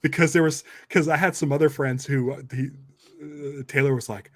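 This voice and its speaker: A man's voice: on the high side, steady tone, normal speed, dry recording, clean studio sound. Rambling man in casual podcast chat. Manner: rambling, repeats and restarts phrases. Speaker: podcast host and documentary maker.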